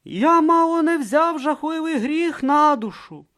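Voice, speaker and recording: A man's voice: very high-pitched, lively intonation, unhurried, bright, forceful tone, pitched above the performer's own voice, exaggerated voice. A man voicing a timid, earnest young male novice monk who speaks hesitantly and anxiously.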